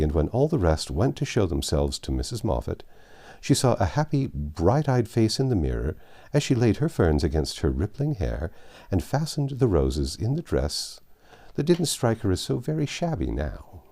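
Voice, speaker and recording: A man reading a classic story aloud as a narrator, in expressive scripted phrasing. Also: fairly low pitch, normal speed. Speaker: audiobook narrator.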